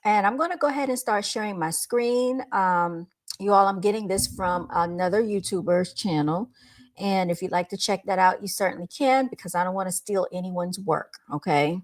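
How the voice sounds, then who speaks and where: A woman's voice: medium pitch, moderate pace. A woman with a light voice hosting a livestream, chatting informally with her viewers into a microphone. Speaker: livestream host. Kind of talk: casual livestream chat with viewers. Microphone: speaking into a microphone.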